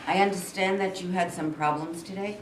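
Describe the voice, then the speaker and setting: Woman acting brisk emergency doctor, echoey room. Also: on the low side, steady tone, recorded from a distance, natural voice. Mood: measured. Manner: clipped, commanding, questioning. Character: brisk, authoritative young male emergency doctor. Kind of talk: staged reading of a play. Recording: echoey room from afar, faint noise.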